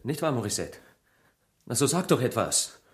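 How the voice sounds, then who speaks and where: An actor playing a hot-tempered, defiant village husband who shields his wife, gruff and curt, in a German radio crime drama. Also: mid-range voice, sing-song, moderate pace, close to an everyday voice. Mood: scornful, defensive.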